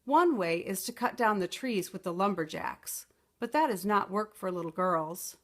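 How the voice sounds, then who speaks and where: A woman voicing a poor, worried mother in a storybook, gently cautioning and resigned. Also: mid-range voice, animated, moderate pace.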